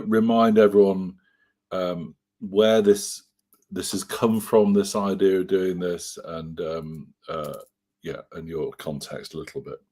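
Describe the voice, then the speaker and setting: Man, very low voice, halting, lots of fillers, co-host, casual chat. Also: a little mellow. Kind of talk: casual faith conversation.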